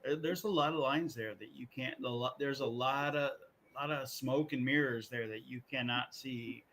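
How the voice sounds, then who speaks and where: Casual male podcaster, halting and rambling. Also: mid-range voice, fairly bright tone, slight background noise. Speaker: podcast co-host. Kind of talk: casual chat about video game movies.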